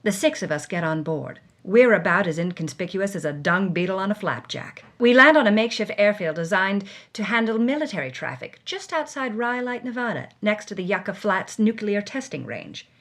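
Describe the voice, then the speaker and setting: A woman's voice: mid-range voice, sing-song, moderate pace, hardly any pauses, fairly bright tone. An actress playing a hard-bitten, world-weary woman reading her journal with wry, dramatic emphasis, as first-person audio drama narration. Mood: wry, tense.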